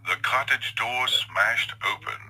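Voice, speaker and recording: A man's voice: medium pitch, slow, vocal fry, heavy background noise. A man reading a scripted story as narrator in a dramatic storytelling style, with music underneath.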